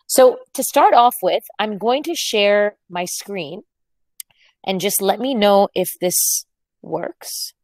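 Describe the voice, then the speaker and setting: Brief: American woman, thirties, webinar speaker, earnest storyteller, dry close sound, mid pitch. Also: slow, a little mellow, dry recording, poor audio. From United States. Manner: earnest, reflective storytelling with frequent fillers. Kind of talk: presenting a webinar talk.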